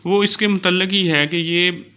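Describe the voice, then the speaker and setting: A man's voice: sits high, steady tone, sharp tone, clean tone. A man with a Pakistani accent giving an explanatory law lecture in a didactic manner, mixing in English terms. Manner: didactic, reads citations slowly then explains. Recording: dull lo-fi recording.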